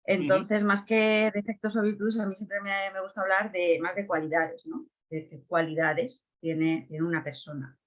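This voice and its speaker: A woman's voice: medium pitch, steady tone, moderate pace, hardly any pauses, lo-fi sound. A woman speaking as a guest in a casual panel discussion, hesitant, with many fillers and tag questions.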